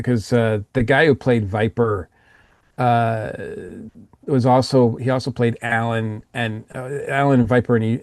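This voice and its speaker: A man speaks as a panelist in a casual review discussion, recorded dry and close. His delivery rambles, with halting restarts and repeated words, at an ordinary middle pitch. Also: darkish tone, dry recording.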